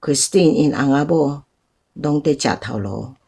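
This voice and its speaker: An actress with a Taiwanese accent playing a calm, resigned older woman in a radio drama. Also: low voice, unhurried, vocal fry, slightly rough, full character voice. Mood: calm, resolute. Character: calm, resigned older woman, a former nun and lost sweetheart.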